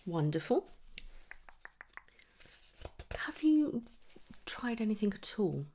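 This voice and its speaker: Woman, ASMR clinic roleplay, soft near-whisper, heavy vocal fry, gentle questioning, close mic with faint hiss. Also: mid-range voice, very animated. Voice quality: soft, breathy, often dropping into whisper. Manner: gentle, unhurried questioning with pauses for answers. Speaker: ASMR performer playing a trichologist. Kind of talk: ASMR roleplay, soft-spoken medical consultation.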